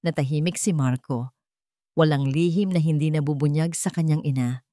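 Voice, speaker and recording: Female narrator for dramatic love story, lowish pitch, dry studio-like sound. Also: moderate pace, warm tone, dry recording. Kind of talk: scripted dramatic romance narration.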